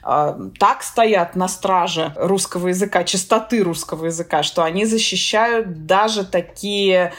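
A woman's voice: fairly low pitch, a little slow, hardly any pauses. A woman from Russia with a slight Russian accent, a philologist answering interview questions thoughtfully, with frequent fillers and easy laughter. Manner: thoughtful, hesitant with many fillers, laughs easily. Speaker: guest, philologist and Russian teacher in the US.

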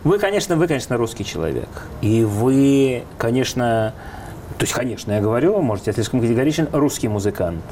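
A man's voice: mid-range voice, sing-song, fairly quick, dark tone, a little hiss or hum. A man hosting an interview, asking long questions and adding admiring remarks. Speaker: interview host. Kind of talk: television talk interview.

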